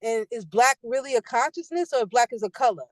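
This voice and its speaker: A woman hosting a podcast, speaking in an emphatic, animated casual conversation. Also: a little high, a little fast, no echo. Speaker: podcast host.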